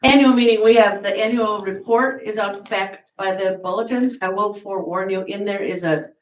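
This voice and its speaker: A woman with a loud, jokey delivery, making an announcement to a congregation. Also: mid-range voice, moderate pace. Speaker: church member making an announcement. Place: church sanctuary. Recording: roomy church sanctuary from a distance.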